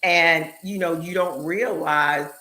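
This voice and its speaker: Bright, animated woman host. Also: on the low side, slow, low-quality recording. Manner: animated, emphatic, speeds up in bursts. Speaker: show host and speaker. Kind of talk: casual chat on a live online show.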